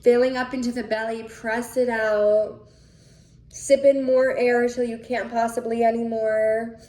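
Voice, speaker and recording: A woman leading a guided breathing visualization in a soothing, unhurried manner with pauses between phrases. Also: a little high, level tone, warm tone. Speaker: guided meditation leader. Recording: echoey room with faint noise.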